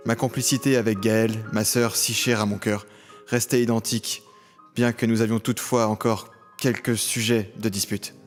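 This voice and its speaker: A man's voice: lowish voice, little pitch movement, moderate pace, faint music behind, lightly acted. An actor playing a tormented criminal who tells his own story in a solemn, literary way in an audio drama. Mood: brooding. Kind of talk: scripted audio drama narration and dialogue.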